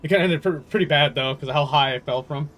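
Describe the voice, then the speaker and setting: A man livestreaming a game and chatting casually with viewers in a jokey, animated storytelling manner. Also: on the high side, quick pace. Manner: animated storytelling, jokey, swearing, laughs often. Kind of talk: casual chat with viewers while gaming. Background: game music.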